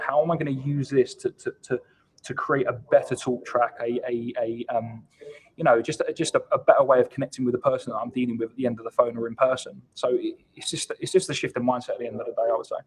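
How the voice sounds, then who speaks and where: Fast-talking husky man explaining business ideas. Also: medium pitch, low-quality recording. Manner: emphatic, repeats words as he builds points. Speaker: guest account executive at a software company. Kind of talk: business interview, conversational explaining. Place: online live video call.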